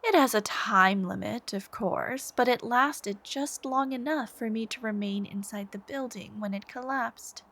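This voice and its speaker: Woman acting a slow, obsessive yandere villainess. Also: a little high, a bit bright. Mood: coldly proud. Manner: slow, intimate, breathy sighs and whispered phrase endings. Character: obsessive yandere supervillain woman, devoted, soft-spoken, menacing. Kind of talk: ASMR roleplay, scripted dramatic monologue.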